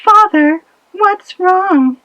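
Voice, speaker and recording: A woman's voice: extremely high pitch, lively intonation, extremely slow, no room sound, highs cut off, pitched above the performer's own voice, full character voice. A woman voicing a king's young daughter, tender and easily upset, in a storybook tale. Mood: concerned.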